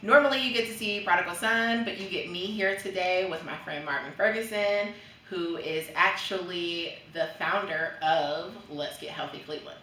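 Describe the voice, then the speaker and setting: Chatty woman host, low and bright. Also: moderate pace, a little hiss or hum. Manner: upbeat, chatty, interjects short affirmations. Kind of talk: casual interview. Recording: echoey room from a distance.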